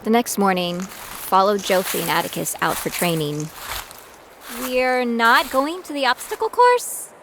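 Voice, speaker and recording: A woman's voice: medium pitch, big pitch swings, a little slow, noisy background. An actress playing a determined young female bounty hunter in training, narrating her own story in an audio drama. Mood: incredulous, sulky. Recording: loud music bed behind voice.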